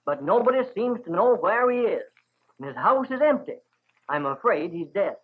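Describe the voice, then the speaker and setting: Male storyteller as courteous old seaman, folksy and weary, raised pitch, muffled vintage radio sound. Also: big pitch swings, moderate pace, slightly rough, heavily acted. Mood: wistful, weary. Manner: folksy, polite, old seafaring talk. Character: weary, courteous old sailor, about eighty. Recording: dull 1940s radio recording.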